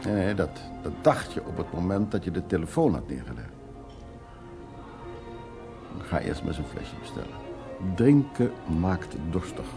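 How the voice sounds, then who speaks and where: Hard-drinking man, low gravelly voice, rambling teasing storyteller, radio drama. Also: sing-song, normal speed, pauses often, music in the background. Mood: rambling, evasive. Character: evasive, hard-drinking man recounting his wartime past to his girlfriend. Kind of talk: Dutch radio drama acting.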